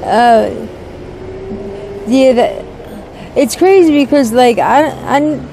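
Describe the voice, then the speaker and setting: Hesitant, mellow-voiced woman on the street. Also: high-pitched, low-quality recording. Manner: hesitant, halting, peppered with "like". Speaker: interviewee. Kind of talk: candid street interview, casual. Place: outdoors on a city street by a brick wall. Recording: outdoor street noise, passing vehicles.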